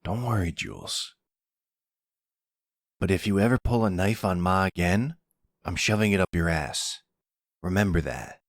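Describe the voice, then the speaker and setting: A man's voice: sits low, a little slow, pauses often, dry recording, studio quality. A man playing a young son in a roleplay audio drama, casual, teasing and blunt, protective of his family. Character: cheeky, protective young son, an aspiring chef.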